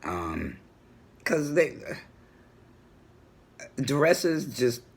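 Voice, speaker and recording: A middle-aged man with a booming voice, talking assertively in a personal home vlog. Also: drawn-out words, creaky, husky. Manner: assertive, animated storytelling. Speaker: vlogger. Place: home room with a world map on the wall.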